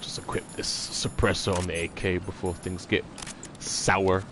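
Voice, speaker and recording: A man's voice: low-pitched, flat delivery, moderate pace, background noise. A man giving laid-back, joking commentary as he plays a game on a live stream. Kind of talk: casual live gameplay commentary.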